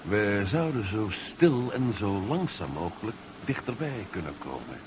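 An actor playing a bold, determined adventurer in a 1970s science fiction radio drama, speaking briskly and urgently. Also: male voice, on the low side, sing-song. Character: bold, determined male adventurer in a sci-fi serial. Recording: dull, noisy 1970s radio play recording.

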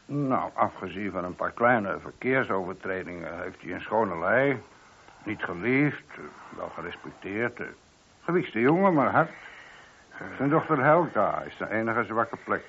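An actor playing a chatty, deferential but opinionated middle-aged police sergeant in a radio play. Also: male voice, fairly low pitch, expressive, a little slow, a bit bright, slight background noise. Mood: gossipy, matter-of-fact. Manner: talkative, colloquial, deferential. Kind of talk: radio drama acting. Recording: dull, lo-fi old radio recording.

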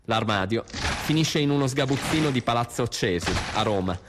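A man's voice: mid-range voice, expressive, normal speed, very noisy. A man gives a dramatic literary reading in a solemn, rhythmic, declamatory way. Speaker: reader in a music and spoken-word show. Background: live guitar band music.

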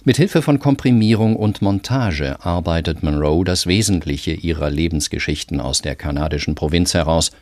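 Very low-pitched male radio presenter. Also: normal speed, hardly any pauses, darkish tone, no echo. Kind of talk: scripted literary introduction. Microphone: close studio microphone.

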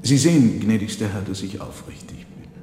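An actor playing a resigned, candid prisoner, a conjurer speaking formally and deferentially in a German radio drama. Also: male voice, on the low side, animated, moderate pace, mellow, close to an everyday voice. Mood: candid, explaining. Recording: echoey hall, audible background noise.

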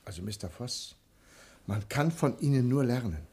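An actor playing an agitated, skeptical banker facing ruin in a 1960 radio crime drama, with a husky, theatrical delivery. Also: male voice, mid-range voice, expressive, normal speed, darkish tone. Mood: skeptical, anxious. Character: flustered, skeptical male banker facing bankruptcy.

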